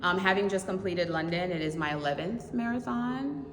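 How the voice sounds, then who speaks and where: A woman from Haiti in her thirties, a marathon runner answering interview questions in an animated way, laughing often and repeating words for emphasis. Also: mid-range voice, normal speed. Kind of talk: casual interview. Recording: echoey, reverberant with loud ambient noise.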